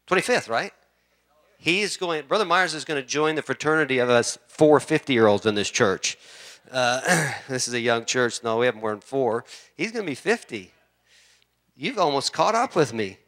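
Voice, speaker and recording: Bright-voiced pastor in his fifties preaching. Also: medium pitch, expressive, moderate pace. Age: 50s. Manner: repeats key words for emphasis, builds to fervent preaching. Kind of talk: sermon. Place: church sanctuary.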